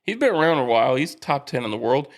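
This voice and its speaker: A man's voice: mid-range voice, sing-song, fast talker. A man co-hosting a sports podcast in casual banter, teasing and quizzing as he chats. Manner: teasing, quizzing his co-host.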